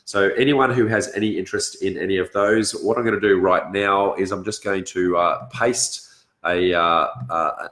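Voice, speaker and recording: Man, Australian accent, low raspy voice, blocked nose, halting delivery, casual livestream host, echoey room. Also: fairly even intonation, fairly bright tone. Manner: halting, searching for words. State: congested from illness, blocked nose. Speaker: host of a property education session. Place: indoors on a couch, echoey room. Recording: roomy, echoing sound.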